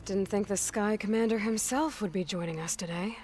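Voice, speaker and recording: An actress playing a determined young warrior heroine in a video game, plain-spoken and dry. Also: female voice, medium pitch, brisk, a little mellow, faint music behind, natural voice. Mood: challenging. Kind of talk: video game cutscene acting.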